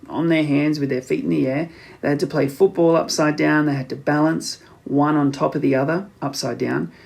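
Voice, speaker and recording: Gravelly male children's story narrator. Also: a little high, darkish tone. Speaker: audiobook narrator.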